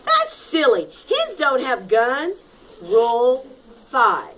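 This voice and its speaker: A woman reads a story to a class as a teacher would, in a high voice that swings widely. She takes her time and stretches key words for dramatic effect. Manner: expressive, dramatic, with drawn-out emphasis and call-and-repeat prompts. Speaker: primary school teacher. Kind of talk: animated read-aloud of a picture book to a class. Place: classroom with children.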